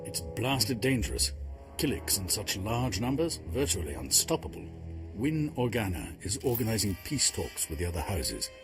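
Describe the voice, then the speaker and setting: A man's voice: fairly low pitch, very animated, moderate pace, music in the background. A man playing a courtly, grave count in a video game, formal and dramatic in delivery. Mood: ominous. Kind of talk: scripted video game acting.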